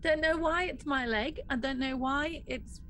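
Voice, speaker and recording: A woman's voice: high voice, measured pace, sharp tone, slight background noise. A woman playing a tabletop role-playing game, chatting casually and thinking aloud in a hesitant way. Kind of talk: casual, improvised game chat.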